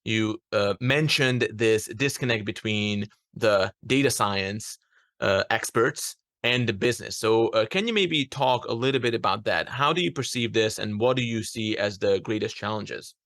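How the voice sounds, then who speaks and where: A man's voice: on the low side, moderate pace, fairly bright tone, no echo, studio quality. A man from Hungary hosting a casual interview podcast, speaking with frequent hesitations and fillers. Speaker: podcast host.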